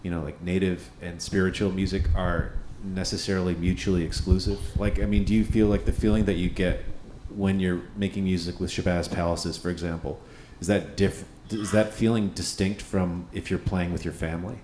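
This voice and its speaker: A man's voice: low-pitched, fairly even intonation, a little fast, hardly any pauses, darkish tone, echoey room, slight background noise. A male host moderating a live panel, who thoughtfully restates the guest's points as questions. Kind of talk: interviewing. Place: live radio studio with audience.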